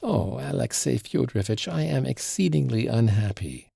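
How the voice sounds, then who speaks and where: A man reading aloud and voicing an excitable, fretful middle-aged mother who gushes in a high, swooping voice. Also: moderate pace, gravelly, husky, no echo, studio quality, heavily acted. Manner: gushing, breathless, high and swooping. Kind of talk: audiobook reading of a classic novel.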